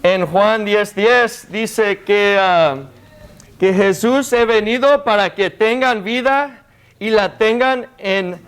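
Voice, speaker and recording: A man's voice: high-pitched, slow pace, fairly bright tone, smooth. A young American man whose first language is English, preaching a hesitant sermon in a second language with many fillers and restarts, speaking to a congregation. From United States. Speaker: guest preacher.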